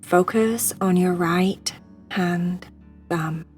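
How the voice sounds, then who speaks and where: A woman guiding a sleep meditation in a hushed, soothing, breathy voice that often nears a whisper. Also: fairly low pitch, very slow, faint music behind. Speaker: meditation guide.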